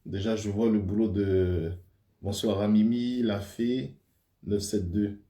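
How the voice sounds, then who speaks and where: Male guest, deep mellow voice, halting casual talk, echoey room. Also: fairly even intonation. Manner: hesitant, halting with fillers. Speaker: Vodou initiate guest on a live stream. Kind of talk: casual live-stream chat.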